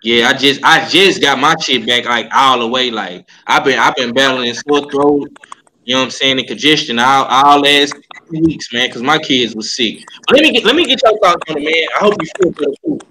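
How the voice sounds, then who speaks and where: A man's voice: medium pitch, animated, fairly quick, bright, forceful tone, a little hiss or hum, low-quality recording. A man hosting a casual online call chat, laid back and steering callers, telling them to mute. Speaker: host.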